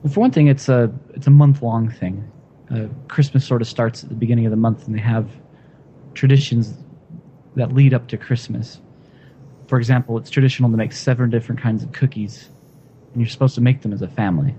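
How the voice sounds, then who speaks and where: Relaxed man with a dark, mellow voice. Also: medium pitch, a little fast, highs cut off, a little hiss or hum. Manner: relaxed, hesitant, sings a folk tune briefly. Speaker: guest sharing Christmas songs. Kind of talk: casual chat.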